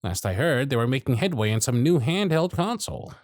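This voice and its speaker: A man voicing a jaded, century-old male workshop supervisor who is gruff, weary, sardonic and blunt, in an ASMR roleplay with close miking. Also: medium pitch, big pitch swings, a little fast, darkish tone, no echo, close to an everyday voice. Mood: bitter, exasperated. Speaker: voice actor in audio roleplay.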